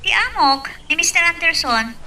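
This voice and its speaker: A woman's voice: very high voice, animated, moderate pace, pushed and bright, heavily acted. A woman with a Filipino accent acting a troubled migrant worker confiding in a friend, in a radio drama. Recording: distant mic, faint background noise.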